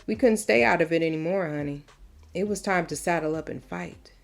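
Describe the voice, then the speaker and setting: A woman's voice: on the low side, fairly quick, gravelly, faint music behind. A woman reading a storybook as a proud, folksy mother who recounts wartime history in an emphatic, conversational first person. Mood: reflective, earnest. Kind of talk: storybook read-aloud, first-person narration.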